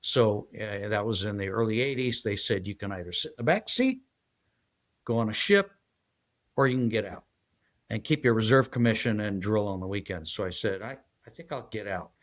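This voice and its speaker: A man in his sixties from San Diego, a guest telling his life story in a halting, rambling way full of fillers. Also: on the low side. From San Diego, USA. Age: 60s. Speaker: guest, retired federal agent. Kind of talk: casual interview storytelling.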